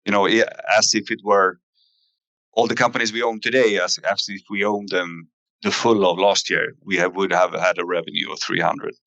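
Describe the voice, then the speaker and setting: A man giving a company investor presentation in a halting way, pausing often with fillers. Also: deep voice, level tone, vocal fry, sharp tone, dry recording, poor audio. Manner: halting, hesitant delivery with frequent fillers. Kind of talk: investor earnings presentation, semi-scripted.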